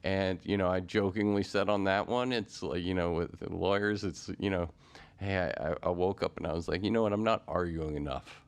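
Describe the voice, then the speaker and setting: A man's voice: deep voice, steady tone, a little fast, clean tone. A man hosting a podcast interview, talking casually in a rambling way with many fillers and restarts. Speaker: podcast host.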